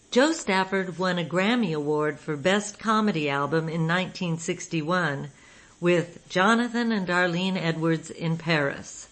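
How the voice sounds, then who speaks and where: Low-voiced woman radio host. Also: fairly bright tone. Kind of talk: scripted narration.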